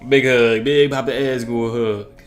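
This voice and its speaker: A man's voice: mid-range voice, fairly even intonation, a little slow. A man hosting a reaction video, commenting casually in slangy, informal speech. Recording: music behind the voice.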